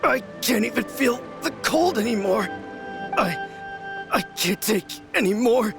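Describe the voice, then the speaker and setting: A man's voice: very high-pitched, expressive, a little slow, gravelly, music in the background, heavily acted. A man voicing an unhinged young villager obsessed with manliness, bombastic and mocking, in a video game. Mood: pleading. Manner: bombastic, mocking, then pleading.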